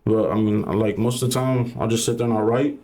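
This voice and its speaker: A man's voice: on the low side, fairly even intonation, fairly quick, mellow. A young man from Southern California, a rapper talking casually as a podcast guest, laid-back and full of slang and fillers.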